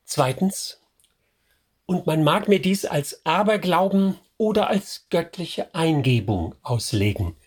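A man narrating a radio drama in the first person, measured and reflective. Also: fairly high pitch, lively intonation, husky, full character voice. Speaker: narrator character.

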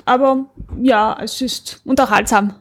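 A woman's voice: fairly high pitch, steady tone, a little slow. A woman with an Austrian accent chatting casually on a podcast in a tentative, hedging way. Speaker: podcast participant.

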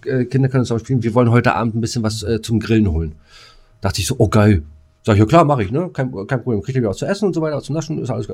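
A man's voice: mid-range voice, darkish tone. A middle-aged man chatting as a podcast co-host, telling stories in coarse, slangy, exasperated fashion. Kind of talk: casual chat, storytelling.

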